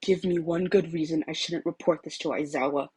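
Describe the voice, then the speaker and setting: A woman's voice: deep voice, steady tone, brisk, darkish tone, slightly rough. A woman voicing a stern, upright, indignant teenage schoolboy in a story, formal and reproving.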